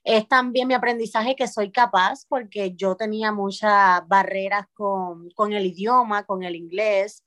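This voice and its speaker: A Puerto Rican woman in her thirties talks through her experiences slowly and thoughtfully, often pausing and restarting a phrase. Her voice sits at an ordinary pitch for a woman. The sound is close and dry, with no room around it. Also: fairly even intonation, fairly bright tone, no room sound. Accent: Puerto Rican Spanish. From Puerto Rico. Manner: thoughtful, with frequent pauses and restarts. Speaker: podcast guest, parent coach. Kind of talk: reflective conversational interview.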